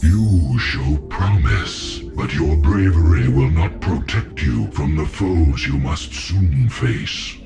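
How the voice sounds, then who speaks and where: Weary old spirit being, slow deep breathy, grave game cutscene voice, loud music under it. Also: male voice, lively intonation, heavily acted. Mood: grave counsel. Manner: grave, portentous. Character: ancient, solemn, weary male otherworldly spirit being. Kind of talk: video game cutscene acting.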